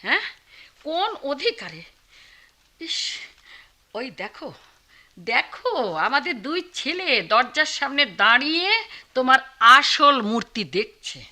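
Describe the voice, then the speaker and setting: An actress playing a kind but outspoken middle-aged aunt and wife in a radio play, fussing and exclamatory. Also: female voice, high-pitched, sing-song, slow pace, long pauses, sharp tone, heavily acted. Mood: scolding. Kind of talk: Bengali radio play acting.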